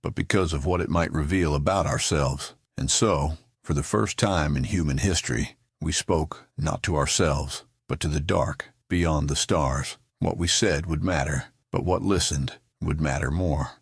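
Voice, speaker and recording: A man acting as the grave narrator of a sci-fi audio drama, gravelly and husky, with a solemn, portentous delivery. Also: a bass voice, measured pace, hardly any pauses, no echo, studio quality, full character voice. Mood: solemn, ominous.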